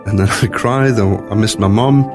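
A man with a low voice and a faint Scottish accent speaks as an interview guest. He tells his own story in a reflective, conversational manner. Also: normal speed, over music. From born in Scotland, moved to South Africa at three.

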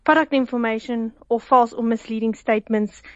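A woman's voice: on the high side, steady tone, brisk, dry recording. A South African woman, a food fraud researcher, speaking as a guest in a radio phone interview, explaining at length with restarts and often giggling. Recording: dull phone line.

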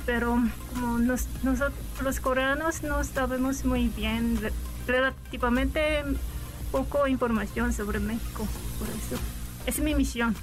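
A young woman from South Korea talks in careful, halting Spanish with a Korean accent, often stopping to find the word and letting out small shy laughs. Her voice sits a touch high, and soft music plays faintly underneath the radio interview. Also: poor audio. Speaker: guest reporter.

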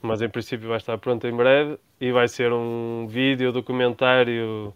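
A man's voice: mid-range voice, flat delivery, moderate pace, smooth, no room sound, highs cut off. A man in his thirties from Portugal with a Portuguese accent, a guest answering interview questions thoughtfully, often restarting his words. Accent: European Portuguese. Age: 30s. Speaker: guest, traveler. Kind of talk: conversational interview.

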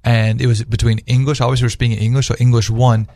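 A man's voice: medium pitch, level tone, quick pace, dark tone, no room sound, clean studio sound. A man speaking as a guest on a radio talk panel, earnestly telling stories and posing rhetorical questions in conversational religious discussion.

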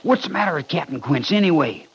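Uneasy American frontier soldier, husky, deferential, 1950s radio western, muffled recording. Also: male voice, a little high, expressive, fast. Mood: restless, uneasy.

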